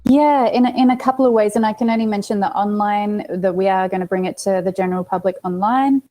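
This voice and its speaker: Mellow-voiced Australian woman chatting. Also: medium pitch, quick pace. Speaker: interview guest, actress and producer. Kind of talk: casual interview chat.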